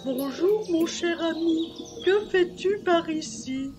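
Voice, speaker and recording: A woman's voice: very high-pitched, music in the background, pitched above the performer's own voice. A woman voicing a friendly, affable male elephant in a children's storybook. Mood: friendly, inviting. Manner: slow, affable, storybook delivery.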